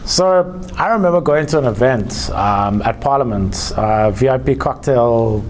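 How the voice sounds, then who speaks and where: A man's voice: medium pitch, lively intonation, a little slow, slight background noise. A man in his thirties hosting a casual studio interview, teasing and chatty, laughing often. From South Africa. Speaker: talk show host. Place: small indoor studio with photo wall.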